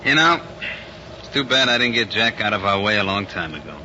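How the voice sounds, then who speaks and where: An actor playing a smooth, ruthless gangster in a 1940s radio crime drama, scheming with his lover. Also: fairly low pitch, expressive, brisk, natural voice. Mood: confident, scheming. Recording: noisy, muffled old 1940s radio recording.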